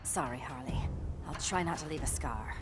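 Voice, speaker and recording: A woman's voice: low-pitched, moderate pace, over loud music, close to an everyday voice. A woman playing a cool, composed thief heroine in a video game, with a dry, controlled delivery. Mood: wry, guarded. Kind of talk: video game cutscene acting. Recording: loud cinematic score behind.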